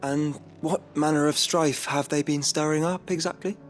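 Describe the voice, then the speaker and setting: An actor playing a suave, inquisitive adventurer in a fantasy video game, with a polite, wry manner. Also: male voice, on the high side, steady tone, moderate pace, soft background music, lightly acted. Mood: curious, wry.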